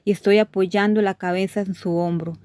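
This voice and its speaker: A woman reading a devotional course aloud, sharing testimonies in a steady, measured way with gentle emphasis. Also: medium pitch, clear, resonant tone, no room sound.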